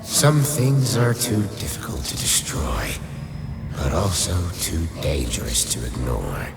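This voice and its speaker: A man playing a commanding undead lich, a skeletal sorcerer, in a dark fantasy radio play, speaking gravely and formally. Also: very deep voice, huge pitch swings, unhurried, exaggerated voice. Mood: grave, explanatory. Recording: cavernous echoing reverb, music underneath.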